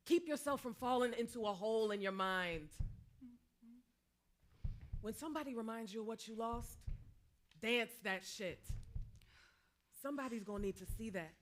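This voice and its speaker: A woman's voice: on the high side, normal speed, long pauses, natural voice. A woman in a staged play reading, playing a wise, streetwise mentor who probes and admonishes in slangy, insistent speech. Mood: urging.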